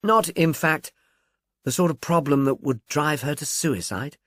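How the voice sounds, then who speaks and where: A man's voice: on the high side, unhurried, fairly bright tone, dry recording, clean studio sound. Man playing a polite, probing detective. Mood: inquisitive. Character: polite, probing male police investigator.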